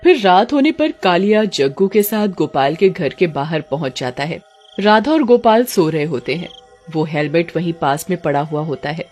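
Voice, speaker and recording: A woman's voice: fairly low pitch, lively intonation, moderate pace, a little mellow, faint music behind. A woman narrator telling a scripted children's cartoon story.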